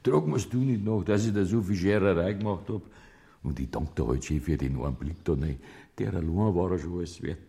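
An actor playing an old farmer in a radio play, with a Bavarian accent and an earthy, resigned manner. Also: male voice, deep voice, brisk, vocal fry, warm tone. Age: older.

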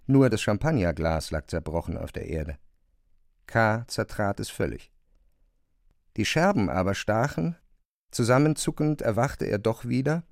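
A man narrating a literary radio drama from a script in a measured storytelling style. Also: medium pitch, creaky, a little mellow, no echo, clean studio sound.

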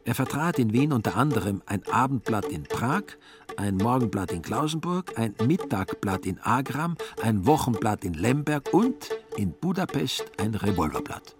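A man with a husky voice narrating a scripted literary story for a radio play. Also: mid-range voice, sing-song, normal speed, music in the background.

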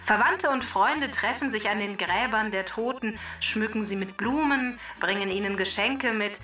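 A woman playing a female machine helper in a children's podcast, reading scripted educational narration in a measured, clearly phrased way. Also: mid-range voice, sharp tone, recorded from a distance, close to an everyday voice. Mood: informative, upbeat.

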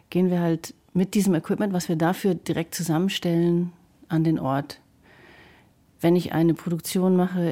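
A woman's voice: lowish voice, dark tone. A woman composer explaining her working methods in detail, in a reflective interview style. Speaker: composer and radio drama maker.

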